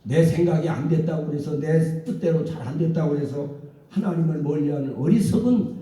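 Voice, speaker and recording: A man's voice: fairly high pitch, fairly quick, mellow. A man who is a church pastor, preaching with emphatic calls of hallelujah, then giving announcements to the congregation. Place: church sanctuary. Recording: roomy church sound from a distant mic.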